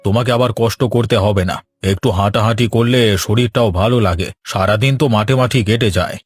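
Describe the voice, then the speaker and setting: A fairly low, dry-sounding village farmer for a cartoon. He is a gentle, considerate husband, with music playing behind him. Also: fast talker, husky, dry recording. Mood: affectionate. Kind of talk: Bengali cartoon voice acting.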